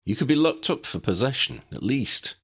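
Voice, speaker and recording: A man's voice: medium pitch, expressive, normal speed, gravelly, fairly bright tone, slightly rough, pitched above the performer's own voice, full character voice. A man voicing a guarded, protective male wizard officer in an audiobook reading, speaking in a firm, clipped way. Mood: stern.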